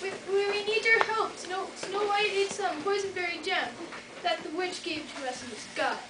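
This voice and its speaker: A woman's voice: extremely high pitch, moderate pace. A teenage girl playing a kind, gullible, dim-witted male dwarf who stammers, in a school fairytale play. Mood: flustered. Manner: stammering, repeating words. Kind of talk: amateur school readers' theater. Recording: echoey noisy hall from far off.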